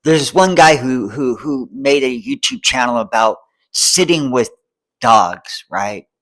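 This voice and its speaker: Man, 40s, middle-pitched voice, earnest, rambling, repeats and restarts phrases, determined vlog monologue. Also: very animated, slow pace, vocal fry, a bit bright. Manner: rambling and repetitive, many restarts; checks in with the listener often. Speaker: vlogger and homelessness advocate. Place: Seattle.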